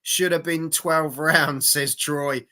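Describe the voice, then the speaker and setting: Male stream host, sharp forceful voice going hoarse, blokey and repetitive, reacting live to boxing. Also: fairly high pitch, fairly even intonation, slow pace. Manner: blokey, sweary, repeats phrases for emphasis. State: hoarse, losing his voice. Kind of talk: casual live sports reaction chat.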